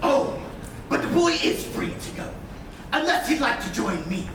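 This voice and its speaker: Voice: mid-range voice, sing-song, normal speed. Sly theatrical pirate villain, distant and echoing. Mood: taunting. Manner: mocking, grandiose, sneering delivery. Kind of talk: live stage play acting. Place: indoor stage of a theatre. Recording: echoey theatre, distant mics, noisy room.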